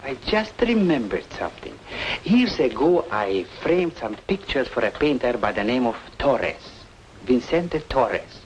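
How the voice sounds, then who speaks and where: A man's voice: medium pitch, big pitch swings, unhurried, muffled recording, slight background noise. A man playing a helpful, talkative small-town art shop owner, musing aloud in an old-time radio drama. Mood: reminiscing.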